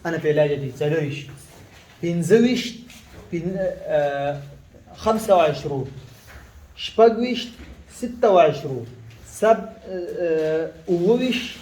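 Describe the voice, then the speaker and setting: A man with a high voice teaches a casual lesson, stopping often with fillers and restarts and going back over each word slowly. His first language is Pashto. The room around him is echoey. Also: lively intonation, darkish tone. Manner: halting, with many fillers and restarts, repeating words slowly.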